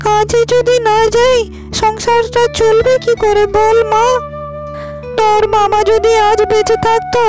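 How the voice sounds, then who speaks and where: Lady doing a tired sick older village mom for a cartoon, very high voice, plaintive, music behind. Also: distant mic. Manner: plaintive, yielding. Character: ailing, weary, loving older village mother. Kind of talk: animated moral-tale cartoon dub.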